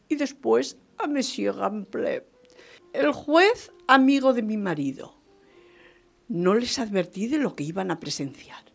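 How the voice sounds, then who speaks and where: Woman playing a high-voiced, theatrical scheming marquise. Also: a little mellow, exaggerated voice. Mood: confiding. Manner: theatrical, exclamatory, dramatic. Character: gleeful, scheming marquise gossiping about her divorce. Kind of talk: radio theatre storytelling.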